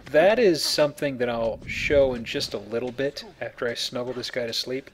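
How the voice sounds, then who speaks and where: A man's voice: mid-range voice, moderate pace, a little hiss or hum. Joking man doing game commentary. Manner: joking, colloquial. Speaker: video game let's player. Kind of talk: casual gameplay commentary with explanations.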